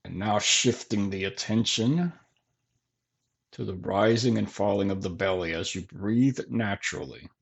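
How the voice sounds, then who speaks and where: A man leading a guided body scan meditation in gentle, measured phrases with long pauses between them. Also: lowish voice, gravelly, slightly rough. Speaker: meditation teacher.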